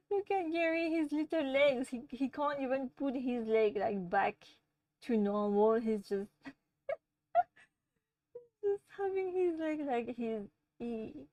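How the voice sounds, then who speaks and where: A woman from France with a French accent, hosting a livestream and chatting casually with viewers in a gentle, easygoing way, often laughing. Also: sits high, no echo, distant mic. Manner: gentle, chatty, laughs often, talks softly to her pets. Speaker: livestream host. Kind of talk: casual live chat answering viewers.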